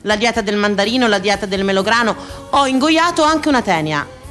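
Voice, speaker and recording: An actress playing a wry, self-mocking woman eternally on a diet, declaiming in a comic theatrical radio monologue with dramatic pauses. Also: medium pitch, expressive, a bit bright, close to an everyday voice. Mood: wry, exasperated. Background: music.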